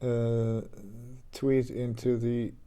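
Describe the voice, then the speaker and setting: A man's voice: mid-range voice, extremely slow, smooth. A man streaming his game development live, chatting casually with fillers and restarts while getting over an illness with a slight cough. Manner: relaxed, rambling, many fillers and restarts.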